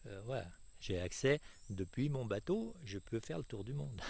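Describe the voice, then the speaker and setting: A man's voice: mid-range voice, animated, normal speed, creaky, a little mellow. A retired Frenchman in his sixties being interviewed outdoors, talking with many fillers and restarts. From France. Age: 60s. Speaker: retired property owner. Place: outdoors on a jungle estate.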